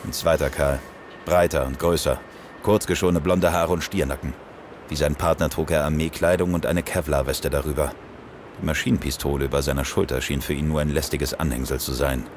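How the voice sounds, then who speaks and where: A man playing a cool, defiant police detective in an audio drama, speaking in a dry, deadpan manner. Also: very deep voice, a little fast, slight background noise. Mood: brooding, observant. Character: cool, defiant male police detective narrating his case. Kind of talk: first-person narration and dialogue in German audio drama.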